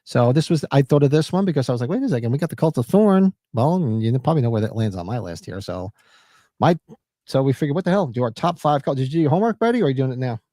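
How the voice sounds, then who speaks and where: A man co-hosting a live podcast, chatting loosely, joking and reading chat comments, a little hoarse. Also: medium pitch, big pitch swings, quick pace, dark tone, dry recording. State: losing his voice. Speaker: podcast co-host. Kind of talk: casual live-stream chat.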